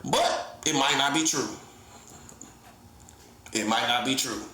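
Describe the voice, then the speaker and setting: A man's voice: mid-range voice, takes it slowly, sharp tone, echoey room, slight background noise. A man giving a casual comedic monologue as an online preacher, teasing his listeners, laughing often and acting out mock conversations. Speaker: self-styled prophet and online preacher.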